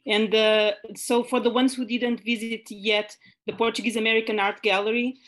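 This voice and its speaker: A woman's voice: fairly high pitch, level tone, moderate pace, a bit bright. A woman moderating an online conference panel, talking with many hesitant fillers over a video call.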